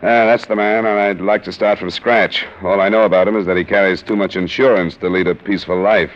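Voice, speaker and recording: A man's voice: low voice, fairly even intonation, fairly quick, close to an everyday voice. Man playing a hard-boiled insurance investigator. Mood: steady. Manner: dry, matter-of-fact narration. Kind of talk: 1950s radio drama acting. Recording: muffled old radio broadcast with music.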